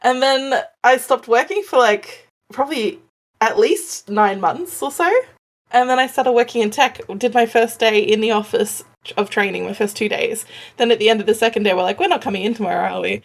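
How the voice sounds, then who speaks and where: A woman's voice: fairly high pitch, a little fast. A young woman co-hosting a podcast, chatting casually with dry, understated quips. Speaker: podcast co-host. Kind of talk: casual chat.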